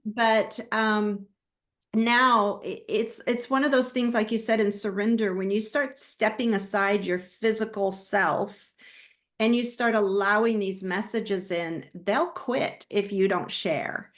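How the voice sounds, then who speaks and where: A woman's voice: medium pitch, steady tone, takes it slowly. A woman guest in a spiritual interview, speaking earnestly and searching for words as she shares her experiences. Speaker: interview guest, holistic health facilitator.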